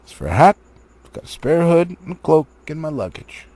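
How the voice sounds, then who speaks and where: Guy doing a blunt hearty dwarf from a fantasy book, husky, pitched up a bit, no nonsense. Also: big pitch swings, fairly quick, darkish tone, no room sound. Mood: brisk, reassuring. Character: hearty, bossy, no-nonsense male dwarf adventurer.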